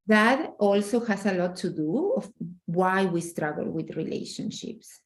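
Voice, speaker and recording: A woman's voice: mid-range voice, lively intonation, a little slow. A middle-aged woman from Argentina, speaking earnestly and emphatically as a relationship coach in a casual interview, often restarting her phrases.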